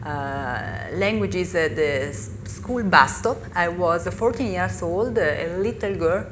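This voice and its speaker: Italian lady on a festival panel, lowish voice, picks her english words slowly, background noise. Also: fairly bright tone. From Italy. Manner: halting, searching for English words. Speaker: panelist, author. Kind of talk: live panel discussion. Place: festival venue. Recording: live panel recording with noise.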